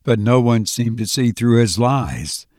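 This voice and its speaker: A man reading a scripted, dramatic audiobook story in a measured, deliberate way. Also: mid-range voice, lively intonation, darkish tone, no room sound, clean studio sound. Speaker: audiobook narrator.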